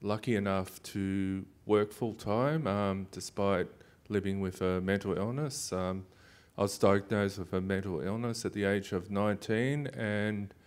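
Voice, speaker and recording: Man in his fifties, lowish voice, hesitant, halting panel intro. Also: steady tone. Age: 50s. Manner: hesitant, many fillers. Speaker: panelist, consumer consultant. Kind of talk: panel discussion answers.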